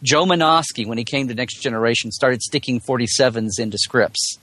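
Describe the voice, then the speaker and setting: A man's voice: mid-range voice, a little fast, a bit bright, no echo. Chatty man in a dry, close recording. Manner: chatty, explanatory, jokey asides. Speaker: returning podcast guest. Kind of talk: casual interview chat.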